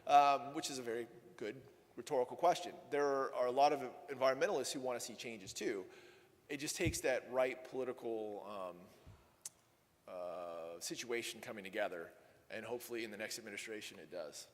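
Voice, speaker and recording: A man's voice: medium pitch, a little fast. A man giving a conversational, wry conference talk on policy, often correcting himself mid-sentence. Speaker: energy policy speaker. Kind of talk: conference presentation with Q&A. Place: conference hall. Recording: long hall reverb.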